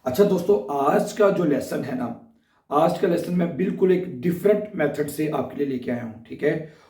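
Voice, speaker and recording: A man teaching a beginner language lesson with a sore throat, chatty and often pausing to check in with his viewers. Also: fairly high pitch, expressive, dark tone, echoey room, distant mic. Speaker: German teacher. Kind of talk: casual online language lesson.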